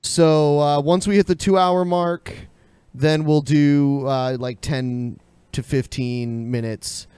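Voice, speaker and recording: A man's voice: on the high side, fairly even intonation, slow, mellow, clear, resonant tone, no echo. An American man chatting casually as a podcast co-host. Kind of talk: casual chat.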